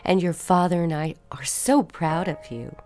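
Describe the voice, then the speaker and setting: A woman playing a supportive, protective mother, gently reassuring, in a children's audio story. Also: lowish voice, moderate pace, soft background music, close to an everyday voice. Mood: proud, reassuring.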